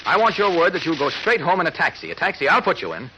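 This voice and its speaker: A man's voice: a little high, sing-song, fast talker, bright, forceful tone, breathy edge, exaggerated voice, rough recording. A man with an American accent playing a serious, urgent newspaper reporter in 1940s radio drama style on an old recording. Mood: urgent, insistent. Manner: urgent, authoritative. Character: brisk, serious adult male newspaper reporter investigating a disappearance.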